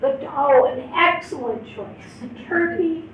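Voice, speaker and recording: High-voiced lady teacher, talks real slow, echoey classroom from far off. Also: dull audio. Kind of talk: classroom teaching, role-play. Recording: echoey room, distant mic, faint noise.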